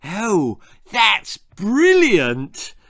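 Spoiled storybook little girl, played by a man, high-pitched, bossy and excitable, with a whiny edge. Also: very slow, gravelly, dry recording, clean studio sound, full character voice. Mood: excited.

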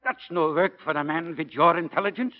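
An actor playing a demanding, brusque priest and science professor in a 1940s radio drama. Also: male voice, on the high side, fairly quick, natural voice. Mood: exasperated. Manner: emphatic, impatient, gruffly mocking. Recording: dull 1940 radio recording.

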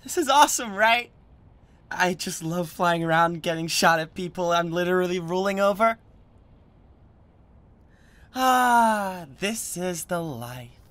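Casting: male actor for a doting devil boyfriend in audio roleplay, awkward and stammering, very animated pitch, bright tone. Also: a little slow, long pauses, no room sound. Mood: giddy, playful. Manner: stammering, gushing, swings from gentle to manic.

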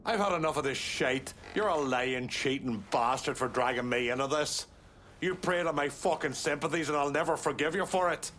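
An actor playing an aggrieved, bitter Irish mobster in a game, blunt and foul-mouthed. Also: male voice, on the high side, moderate pace, a bit bright, slight background noise, lightly acted. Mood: bitter anger.

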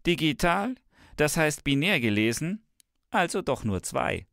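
A man's voice: on the high side, very animated, unhurried, vocal fry, a bit bright, dry recording, studio quality, heavily acted. A man playing a smug, tech-enthusiast professor in a scripted comic dialogue, speaking emphatically and theatrically. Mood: insistent. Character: smug male professor, enthusiastic believer in technology. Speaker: podcast co-host in a comic professor role. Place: radio studio. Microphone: studio microphone.

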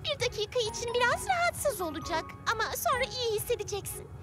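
A brave, kind little cartoon girl with a very high, bright voice. She speaks slowly and gently, her pitch lively and bouncing. Loud children's music plays behind her. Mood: thoughtful. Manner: gentle, encouraging, upbeat. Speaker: lead character. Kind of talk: cartoon dubbing.

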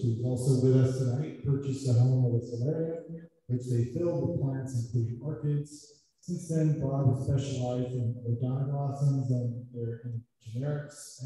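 A man reading out a guest speaker's introduction, stumbling and clearing his throat. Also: medium pitch, warm tone. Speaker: meeting MC. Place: large meeting room. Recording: echoey hall from far off.

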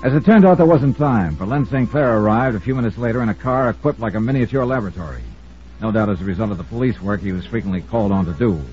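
An American man acting a brisk, commanding, hard-boiled investigator in an old-time radio detective drama, also narrating it. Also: on the low side, animated, soft background music, lightly acted. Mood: dry narration. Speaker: lead actor and narrator of a radio detective serial. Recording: muffled old radio recording.

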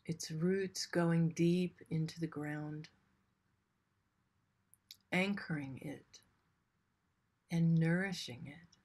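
A woman with a fairly low voice guides a meditation. She speaks very slowly and gently, in short phrases set apart by long pauses, keeping a soothing manner throughout. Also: fairly even intonation.

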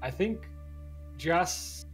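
A man's voice: a little high, lively intonation, very slow, faint music behind. A man hosting a casual chat show, putting questions to his colleagues. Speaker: show host.

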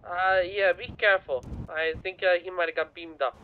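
A man's voice: sits high, fairly even intonation, moderate pace. A man role-playing a police officer, giving hesitant, clipped reports through a radio filter. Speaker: police officer player in roleplay game. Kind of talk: roleplay police radio chatter. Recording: tinny narrow-band radio line, faint noise.

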